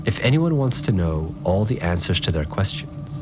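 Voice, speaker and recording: A man's voice: low-pitched, sing-song, moderate pace, over music. An actor playing a rattled, slangy, laid-back guy, telling his story conversationally in an audio drama. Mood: agitated, baffled. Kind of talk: informal first-person storytelling in audio drama.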